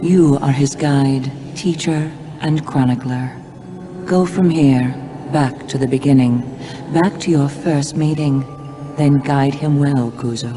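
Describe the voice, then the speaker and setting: A man's voice: medium pitch, fairly even intonation, takes it slowly, natural voice. A man voicing a grave, wise oracle spirit in a video game, speaking formally and with authority. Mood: solemn. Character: solemn, wise male oracle spirit giving sacred charges. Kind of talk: video game cutscene voice acting. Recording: echoey hall reverb, clearly noisy background.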